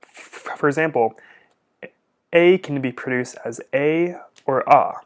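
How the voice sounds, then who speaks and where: A man's voice: on the high side, slow. A young man, a speech-language pathologist, answering viewer questions in a casual vlog, earnest and open, stuttering often with repeated sounds and blocks.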